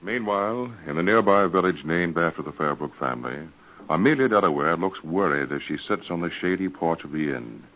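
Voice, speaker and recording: A man narrating an old radio serial as announcer in a measured, dramatic style. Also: sits low, expressive. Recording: dull old radio recording. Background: faint music.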